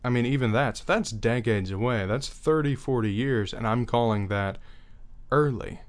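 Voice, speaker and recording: A young man talking earnestly in a reflective personal monologue, unhurried and thinking aloud as he goes. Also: medium pitch. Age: young adult.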